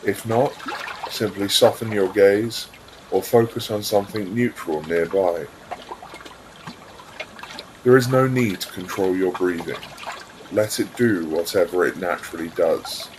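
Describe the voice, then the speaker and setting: Slow, very low-voiced man guiding meditation. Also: noisy background. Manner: calm, soothing, unhurried delivery with pauses. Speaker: guided visualisation narrator. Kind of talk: guided meditation, scripted narration.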